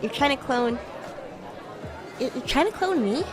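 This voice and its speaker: A woman's voice: sits high, animated, unhurried, over music, a crowd in the background. A woman voicing a bratty young demon schoolgirl in a roleplay stream, teasing and stammering when flustered. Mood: wary, teasing. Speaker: VTuber streamer playing a character. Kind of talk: improvised roleplay livestream, chatting with viewers.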